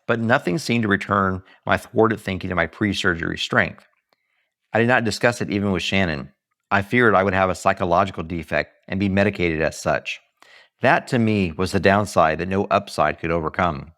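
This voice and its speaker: A man reading his own book aloud in an audiobook style, earnest and deliberate, with dramatic emphasis and pauses. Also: low-pitched, a bit bright. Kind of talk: audiobook-style scripted narration.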